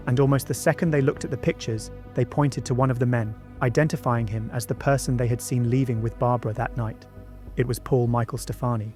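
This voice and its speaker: Male narrator, mid-pitched voice, scripted true-crime documentary, measured storytelling, faint music underneath. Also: a little mellow. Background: background music.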